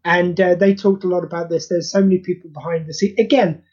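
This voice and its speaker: A man with a notably high voice and a husky edge talks casually as an interview guest. He is earnest and rambles informally. The sound is dull and lo-fi. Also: steady tone, fast talker, darkish tone. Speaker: interview guest, creator of charity audio plays. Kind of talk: casual podcast interview chat.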